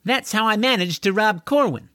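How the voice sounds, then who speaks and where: Man doing a cocky, high-pitched female thief. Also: lively intonation, moderate pace, a bit bright, no room sound, clean studio sound. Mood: boastful. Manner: cocky, insistent. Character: brash, boastful young female thief.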